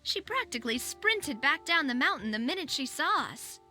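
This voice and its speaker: A woman's voice: high-pitched, very animated, normal speed, sharp tone, soft background music, exaggerated voice. A woman voicing a confident, outgoing and playful young lady boss in a video game, exuberant and friendly. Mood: storytelling, amused. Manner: exuberant, friendly, self-assured. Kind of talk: video game character dialogue.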